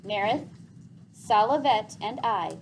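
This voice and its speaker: Woman voicing a measured, formal elder leader. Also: mid-range voice, expressive. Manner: formal, deliberate. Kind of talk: storybook character reading. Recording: faintly noisy classroom recording.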